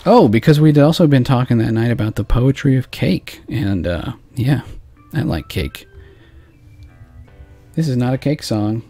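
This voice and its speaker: A man's voice: medium pitch, expressive, unhurried, long pauses, warm tone. A man hosting a loose, rambling late-night show, swearing casually as he chats and reads a novel aloud. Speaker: podcast host. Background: faint background music.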